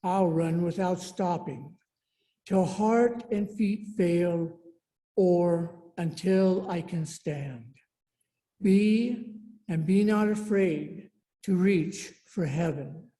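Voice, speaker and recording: A woman leading a church service, giving announcements and reading a meditation in a measured, deliberate way. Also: fairly low pitch, dark tone. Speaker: worship service leader.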